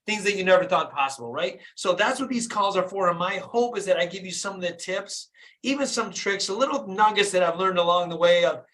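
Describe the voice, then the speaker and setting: A man in his fifties from Michigan, a dentist hosting a live motivational call in an energetic, hyped-up way, often asking his listeners "right?". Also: high voice, a little fast. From Flint, Michigan, USA. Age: 50s. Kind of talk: motivational live-stream talk.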